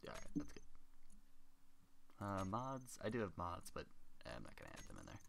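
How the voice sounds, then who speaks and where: A man's voice: sits low, huge pitch swings, normal speed, creaky, fairly bright tone, slight background noise. A young man hosting casual gameplay commentary, stuffed up with a cold and rambling as he thinks aloud. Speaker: gaming channel host.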